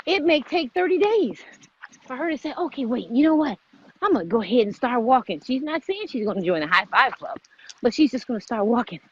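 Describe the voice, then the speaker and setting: A woman's voice: fairly high pitch, very animated, fairly quick, slightly rough, dry recording, dull audio. A woman hosting a casual motivational fitness vlog outdoors, repeating her points as she urges listeners on. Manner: repetitive, exhorting. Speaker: vlog host.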